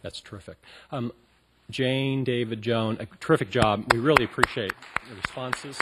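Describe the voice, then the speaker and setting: A man's voice: mid-range voice, normal speed, bright, forceful tone, slight background noise. A man moderating a public panel and introducing a speaker, talking loosely with many ums and restarts. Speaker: event moderator. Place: college auditorium stage.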